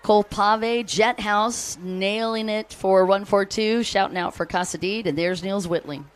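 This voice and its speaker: A woman's voice: mid-range voice, a little slow. An American woman giving live race commentary, chatty and upbeat, calling out riders and greeting fans between lap updates. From United States.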